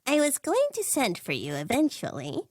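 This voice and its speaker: A woman voicing a tall, imperious male circus ringleader in an audio story, with a squeaky helium-like voice and a theatrical, smug manner. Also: wildly swooping pitch, a little mellow, no room sound, clean studio sound, heavily acted. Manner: slow, drawn-out, theatrical, smug. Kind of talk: fan fiction audio story character voice.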